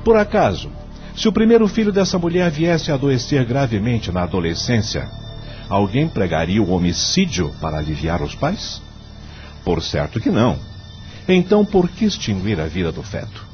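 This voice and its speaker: An actor playing a principled, devout judge in a Brazilian radio drama, speaking formally and oratorically with rhetorical questions. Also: male voice, mid-range voice, very animated, moderate pace, natural voice. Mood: solemn, impassioned. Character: eloquent male judge and mentor, devout, firmly principled. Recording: dull lo-fi audio, faint music.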